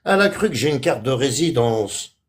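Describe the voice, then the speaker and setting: A man's voice: medium pitch, lively intonation, moderate pace, breathy edge. A man from France talking on a phone live stream, indignant and repetitive, dropping Arabic words into his speech. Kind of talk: heated casual live-stream rant.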